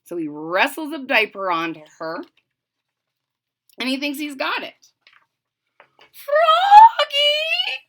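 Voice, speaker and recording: Female storybook reader: slow, highly animated pitch, expressive narration for young children. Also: a little hiss or hum. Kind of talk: children's read-aloud narration with explanations.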